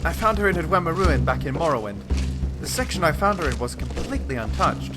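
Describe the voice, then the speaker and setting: A man plays a knowledgeable, protective fantasy adventurer from a video game. His voice sits fairly high and moves in very lively swings as he explains things carefully. Loud music plays underneath. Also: quick pace. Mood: firm, explaining. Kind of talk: video game companion dialogue.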